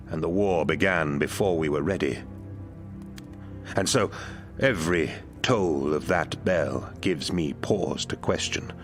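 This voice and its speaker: An actor playing a weary, burdened leader in a grave, brooding dramatic monologue. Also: male voice, extremely low pitch. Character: weary, burdened leader regretting his failures.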